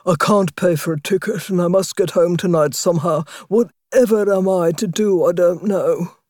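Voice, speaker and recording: A woman's voice: on the low side, moderate pace, a little mellow, no room sound, clean studio sound, lightly acted. Toad, a fussy, pleading disguised toad voiced by a woman. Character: vain female toad disguised as a guard.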